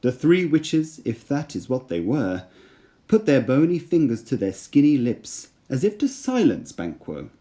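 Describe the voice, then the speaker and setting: A man's voice: mid-range voice, sing-song, measured pace, mellow. A man reading a storybook aloud as a storyteller, with dramatic scripted narration.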